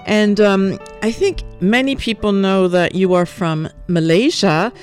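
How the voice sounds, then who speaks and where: Upbeat female interview host with a mid voice, quiet guitar music behind. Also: mid-range voice, smooth. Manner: friendly, quick acknowledgments, small laughs. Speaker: radio show host. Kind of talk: interview hosting.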